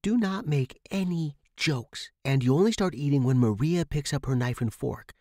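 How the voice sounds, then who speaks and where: Man voicing an excitable, squeaky young woman. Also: normal speed, no echo, studio quality. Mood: anxious warning. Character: chatty, giggly, nervous young woman writer.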